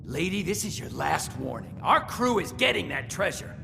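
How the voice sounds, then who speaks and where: A gravelly, violent raider thug, barking threats in a high, wildly swinging voice. The line rings with long reverb, and loud game music plays under it. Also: male voice, very animated, normal speed, background noise, heavily acted. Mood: threatening. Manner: yelling threats.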